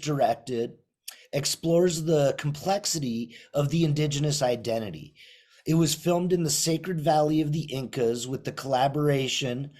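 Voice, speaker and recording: Guy hosting a film talk show, reads the intro, steady and formal. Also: a little high. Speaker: radio show host. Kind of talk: scripted introduction then interview questions.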